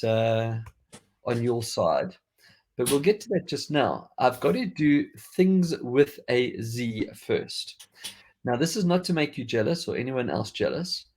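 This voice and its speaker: A man from South Africa with a faded South African accent, hosting a podcast in a chatty, rambling way with frequent restarts. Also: medium pitch. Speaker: podcast host. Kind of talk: casual tech chat.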